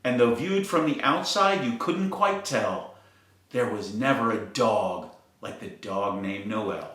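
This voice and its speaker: A man reading a rhyming children's picture book aloud in an expressive, sing-song way, in a very echoey room. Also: on the low side, slow. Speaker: storyteller.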